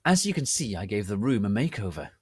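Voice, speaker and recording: A cheerful old gothic wizard, put on by a man, announcing in a jolly, self-pleased way. His pitch moves around a lot, in a dry storybook reading. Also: sing-song, brisk, darkish tone, slightly rough, no room sound.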